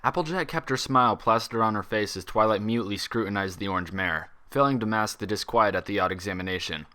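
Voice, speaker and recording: A man reading a story aloud as an expressive scripted narration. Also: fairly low pitch, quick pace, clean tone. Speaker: fan fiction reader.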